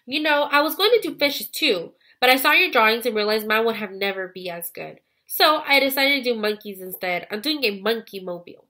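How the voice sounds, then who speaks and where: A woman's voice: on the high side, animated, a little fast, hardly any pauses, bright, forceful tone. A woman voicing a friendly, eager young schoolboy in a children's storybook, earnest and enthusiastic.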